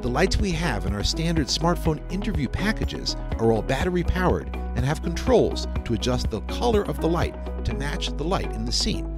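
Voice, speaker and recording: A man narrating a scripted instructional video as the instructor. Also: on the high side.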